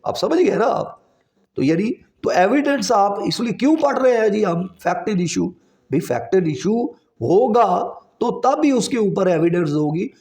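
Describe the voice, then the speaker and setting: A man with a Pakistani accent giving a classroom law lecture, explaining deliberately with examples, rhetorical questions and English legal terms mixed in. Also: sits high, lively intonation, a little mellow. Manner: deliberate, didactic, repeating key terms and asking rhetorical questions.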